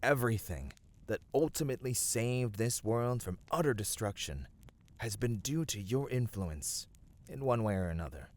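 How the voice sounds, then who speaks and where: A man voicing a gentle, noble fantasy adventurer in an ASMR roleplay, speaking soothingly and earnestly in formal, old-fashioned phrasing. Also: fairly low pitch, lively intonation, moderate pace, natural voice. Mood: admiring, earnest. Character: gentle, noble, reassuring male fantasy adventurer comforting a weary friend. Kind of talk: ASMR fantasy roleplay, scripted intimate voice acting.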